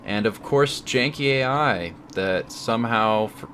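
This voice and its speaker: A man's voice: medium pitch, measured pace, fairly bright tone, slight background noise. A man giving wry, semi-scripted review commentary over video game footage, with a somewhat hesitant delivery.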